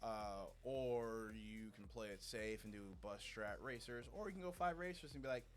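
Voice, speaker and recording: A man's voice: on the low side, normal speed. A man commentating an esports match as casual banter, in a wry, joking, slangy way. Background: background music.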